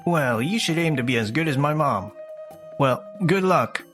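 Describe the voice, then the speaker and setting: Man acting a dismissive, animated young husband. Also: a little high, normal speed, faint music behind. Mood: condescending. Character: selfish, dismissive young husband who shirks chores. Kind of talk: scripted comic dub dialogue.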